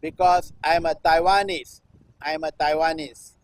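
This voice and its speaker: A man delivers a recitation as performance art. His voice sits a little high for a man and is captured dry, with almost no room sound. He speaks in deliberate phrases and returns to refrains like a chant. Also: steady tone, no echo. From Singapore. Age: 60s. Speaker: performance artist. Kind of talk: live performance recitation of a political text.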